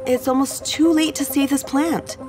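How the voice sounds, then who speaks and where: Brisk, high-voiced scientist mom. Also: female voice. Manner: hurried, matter-of-fact. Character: brisk, preoccupied scientist mother. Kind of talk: children's audio drama acting.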